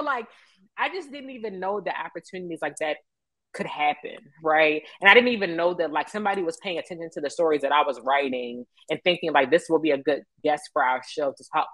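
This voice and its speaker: Low-pitched American woman telling her story. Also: brisk, a bit bright, dry recording. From Baltimore, United States. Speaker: interview guest, media professional. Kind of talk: conversational personal storytelling.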